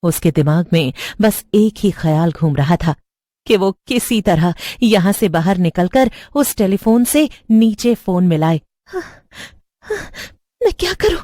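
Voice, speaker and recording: A woman's voice: fairly low pitch, a little slow, mellow, no echo. A woman reading a scripted horror story as its narrator, recorded close up.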